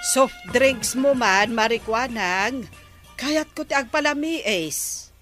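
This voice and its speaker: A woman's voice: fairly high pitch, slow, fairly bright tone, soft background music. A woman playing the captain's weary, good-natured wife in a radio comedy drama.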